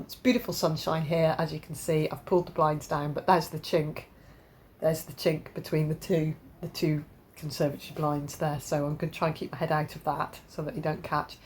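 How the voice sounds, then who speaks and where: Woman, low voice, bunged up with a cold, rambling chatty vlog talk, faint room hiss. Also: darkish tone. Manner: chatty, rambling, lots of ums and restarts. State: stuffy-nosed from a cold. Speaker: crafting vlogger. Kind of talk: casual chat to camera. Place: home conservatory.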